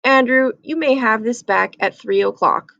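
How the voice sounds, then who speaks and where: Woman playing a firm, fair schoolteacher, high voice. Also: moderate pace, vocal fry, fairly bright tone, no echo, dull audio. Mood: stern.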